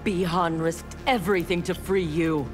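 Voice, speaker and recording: A woman's voice: fairly low pitch, steady tone, normal speed, natural voice. A woman playing a proud, cold female ninja warrior and engineer in a video game, speaking in curt, threatening lines. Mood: proud defiance. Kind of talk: video game fight intro dialogue. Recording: music behind.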